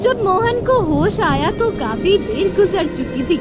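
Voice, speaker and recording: Very high-pitched female storyteller, animated. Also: a little slow. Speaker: narrator. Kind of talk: scripted children's moral story narration. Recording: loud music bed behind voice. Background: music.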